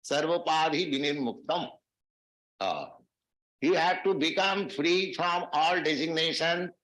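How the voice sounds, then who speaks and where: Male spiritual lecturer, raised pitch, deliberate phrasing, echoey archive recording. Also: fairly bright tone. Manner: slow, measured phrasing with Sanskrit verses. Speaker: spiritual teacher giving a lecture.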